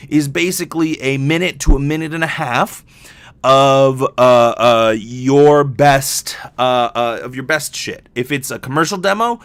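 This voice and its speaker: A young man hosts a solo podcast in a very dry recording with no room sound. His voice sits at a medium pitch. He talks in a candid, rambling, conversational way and is loose with swearing. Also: unhurried, fairly bright tone, dry recording. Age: young adult. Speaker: podcast host, voice actor. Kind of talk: casual solo podcast monologue.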